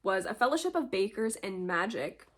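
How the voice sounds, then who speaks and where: A woman's voice: medium pitch, lively intonation, moderate pace. A woman vlogger chatting casually about books she read, talking in a rambling way with little laughs while under the weather with a slight cold. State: slight cold, low energy. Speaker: book vlogger. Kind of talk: casual book review chat. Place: home, at a desk with mugs.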